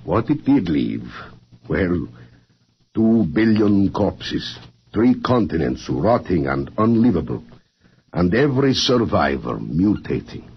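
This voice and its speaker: A grave formal world president, old radio play, lowish voice that swings a lot, muffled hissy sound. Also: male voice, sing-song, full character voice. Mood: grave, blunt. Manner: formal, deliberate, solemn. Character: grave male world council president, former scientist, blunt. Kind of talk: 1950s radio drama acting.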